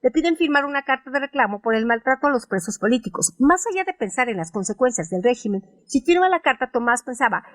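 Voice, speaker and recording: A woman hosting a radio show, reading a scripted literary analysis in a measured, formal way. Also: high voice, animated, fairly bright tone, dry recording. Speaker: radio host. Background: music bed.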